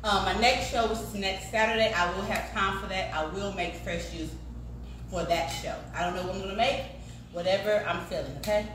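A woman's voice: mid-range voice, steady tone, fairly quick. A forty-year-old American woman hosting a cooking show, chatting casually and emphatically to viewers and guests. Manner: emphatic, punctuating with "okay" and "y'all". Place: home kitchen, indoors. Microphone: phone camera a few feet away. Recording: echoey, distant, clearly noisy kitchen recording.

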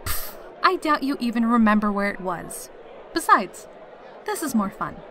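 A woman's voice: fairly high pitch, sing-song, moderate pace, pushed and bright, faint music behind, full character voice. A woman voicing a carefree, teasing female pegasus soldier in a fan audio drama. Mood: cheerful, teasing. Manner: breezy, teasing, cheerful.

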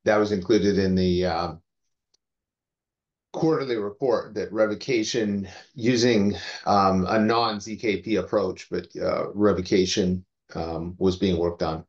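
A man giving an informal technical update on a video call in a measured, halting way. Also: deep voice, fairly even intonation. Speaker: meeting participant giving updates. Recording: dull video call audio.

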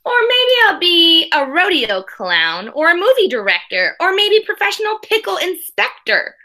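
A woman as an eager schoolboy, bright and high. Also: normal speed, heavily acted. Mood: excited, dreamy. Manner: rhyming, sing-song, animated storybook delivery. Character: eager imaginative eight-year-old schoolboy.

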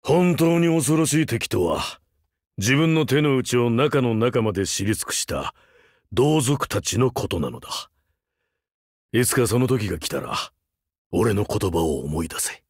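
A male actor playing a rugged older vampire hunter turned teacher in a game, wry, laconic and gruffly fatherly. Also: mid-range voice, expressive, measured pace, dry recording, clean studio sound. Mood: grave. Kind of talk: Japanese mobile game character voice lines.

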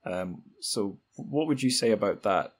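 Lowish-voiced man hosting a podcast. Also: animated, a little slow, husky. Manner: musing, poses rhetorical questions. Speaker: podcast host. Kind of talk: reflective conversational book discussion.

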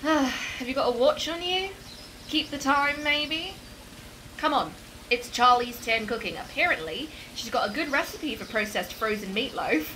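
Bright, high actress as sociable female astronaut. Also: expressive, a little slow, slight background noise, full character voice, poor audio. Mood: teasing. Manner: casual, teasing. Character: sociable, gently teasing female astronaut crewmate. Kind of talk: science fiction audio drama acting.

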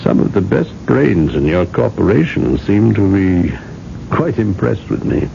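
An actor playing a mysterious, unhurried seller of eternal life in a radio drama, measured and gently amused. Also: male voice, lowish voice, very animated, vocal fry, heavily acted. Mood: enigmatic, amused. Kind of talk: 1980s radio drama acting. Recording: dull, hissy old radio recording.

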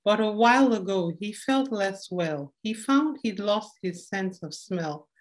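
A young woman reading a rhyming story aloud in an expressive storytelling style. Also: medium pitch, unhurried. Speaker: poem reader. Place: home room indoors.

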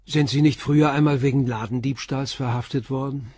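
An actor playing a calm, persistent male police inspector who questions tersely and methodically in a radio crime drama. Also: mid-range voice, brisk, slightly rough, no room sound, clean studio sound, natural voice. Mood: probing.

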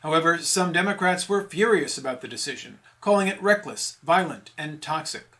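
A man's voice: on the high side, animated, fairly quick, sharp tone. A man delivering a scripted satirical news bulletin as an anchor.

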